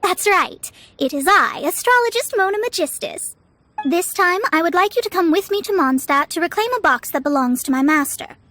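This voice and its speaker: A woman's voice: very high-pitched, animated, moderate pace, sharp tone, slight background noise, heavily acted. A woman voicing a proud young female astrologist in a video game, speaking in a formal, theatrical, haughty way. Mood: confident, persuasive. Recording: music behind the voice.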